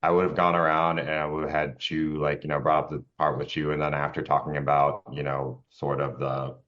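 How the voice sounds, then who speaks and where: Man, extremely low voice, rambling casual feedback, halting delivery, slightly lo-fi audio. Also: little pitch movement. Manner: halting, rambling, self-correcting. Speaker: podcast co-host and tabletop player. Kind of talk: casual chat giving game feedback.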